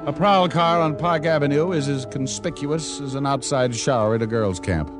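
An actor playing a cocky, sardonic American private detective in an old-time radio drama, breezy and wisecracking. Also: male voice, a little high, moderate pace, lightly acted. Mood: wry narration. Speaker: radio drama lead and narrator. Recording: vintage radio recording with music.

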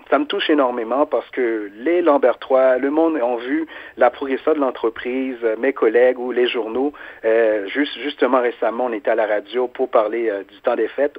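The sound is thin and phone-like, carrying a man's voice of average pitch. He has a Quebec French accent. He speaks informally, like a small shop owner being interviewed. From Quebec resident of Haitian origin. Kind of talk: informal radio interview answers.

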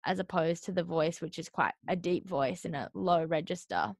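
A woman's voice: fairly low pitch, moderate pace, no echo, studio quality. An Australian woman hosting a radio interview, asking long, friendly questions about an album.

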